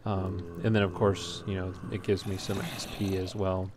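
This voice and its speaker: A man's voice: deep voice, flat delivery, normal speed. A man giving casual, unscripted gameplay commentary as a let's play host, talking in an easygoing, hesitant ramble. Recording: clearly noisy home recording.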